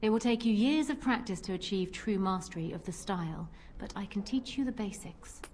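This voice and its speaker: Behind clear background noise comes a woman acting a self-assured pirate captain from a video game. She speaks at a medium pitch, teasing and flirtatious, with theatrical flair. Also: expressive, fairly quick, darkish tone, natural voice. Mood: confident, flirtatious. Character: confident, flirtatious female pirate captain and duelist.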